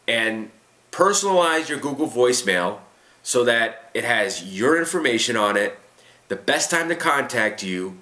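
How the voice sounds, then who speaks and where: A man's voice: mid-range voice, bright, forceful tone. A man hosting an informal advice Q&A, explaining things step by step in an emphatic, instructive way and often checking in with the listener. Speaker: host of a career advice Q&A show. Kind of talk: informal unscripted advice talk. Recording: echoey, roomy sound.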